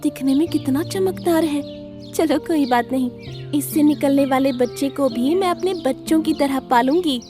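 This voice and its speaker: A woman's voice: high voice, moderate pace, music in the background, pitched above the performer's own voice, lightly acted. A woman voicing a kind, selfless mother bird in a cartoon story, tender and motherly.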